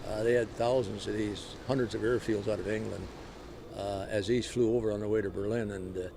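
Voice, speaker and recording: A man's voice: fairly low pitch, steady tone, fairly quick, gravelly. A man from an aircraft crew speaking conversationally in an interview. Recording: noisy outdoor location interview.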